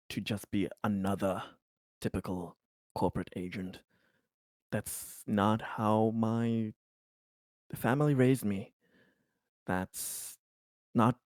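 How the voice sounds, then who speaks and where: A man playing a weary, evasive corporate agent in his late thirties, speaking in a halting, measured way. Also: fairly low pitch, darkish tone, dry recording.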